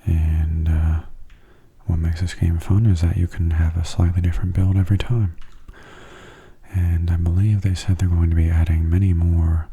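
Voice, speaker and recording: A man giving soft-spoken ASMR commentary on a game in a gentle, unhurried, casual way, his hushed voice often slipping into whispers. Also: low-pitched, level tone, breathy edge. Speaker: gameplay video host.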